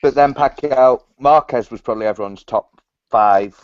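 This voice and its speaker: A man's voice: mid-range voice, normal speed, dry recording. Teasing man, dry lo-fi. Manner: teasing, provocative, laughing. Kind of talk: casual chat about boxing.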